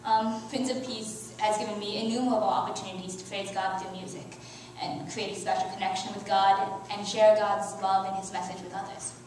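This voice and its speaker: A teenage girl reading a prepared youth sermon at a church lectern, earnest, with soft laughs and small restarts. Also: medium pitch, level tone, fairly quick, few pauses, slight background noise. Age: teens. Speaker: youth speaker. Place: church sanctuary. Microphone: headset mic, filmed by far camera. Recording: very echoey church from far off.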